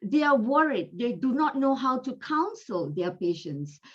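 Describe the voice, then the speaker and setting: A woman from Malaysia with a Malaysian accent, giving an online medical lecture in an unhurried, chatty way with fillers, over a video call. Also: on the high side, sing-song, fairly bright tone, slightly rough. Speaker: guest lecturer, gynecologist. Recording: dull lo-fi audio.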